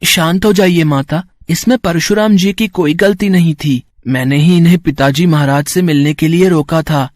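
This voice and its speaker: A man playing a young elephant-headed boy god, dutiful and boldly calm, with polite, formal speech. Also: on the high side, moderate pace, few pauses, dry recording, studio quality, natural voice. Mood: soothing. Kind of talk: acted dialogue in a Hindi mythological story.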